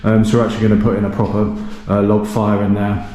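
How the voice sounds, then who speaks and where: A man's voice: fairly low pitch, completely flat delivery, fast talker, warm tone. A man hosting a renovation channel, giving a casual walkthrough tour of a gutted small cottage with plenty of ums and self-corrections. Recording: echoey bare rooms.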